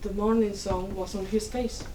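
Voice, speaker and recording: A woman playing a wise, nurturing Cuban elder in a dramatic play reading, speaking firmly and gently in riddles with Spanish endearments. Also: mid-range voice, little pitch movement, normal speed, lightly acted. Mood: solemn, tender. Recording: distant mic, faint room noise.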